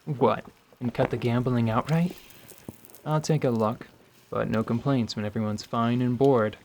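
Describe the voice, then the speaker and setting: A man's voice: medium pitch, steady tone, unhurried, darkish tone, lightly acted. Man playing a wry, laid-back tracker. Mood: casual, wry. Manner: casual, dry, grumbling. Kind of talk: dramatized tabletop radio theatre acting. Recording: faint background noise.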